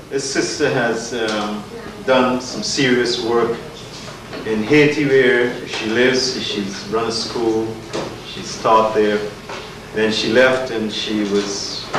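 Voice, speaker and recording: A man introduces a speaker with earnest, rhetorical emphasis. His voice is picked up from across a large, very echoey hall with a steady hiss of noise behind. He sounds set back from the microphone. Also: fairly low pitch. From Guyana. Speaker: event host. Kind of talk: community lecture introduction. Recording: echoey hall, distant mic, noisy.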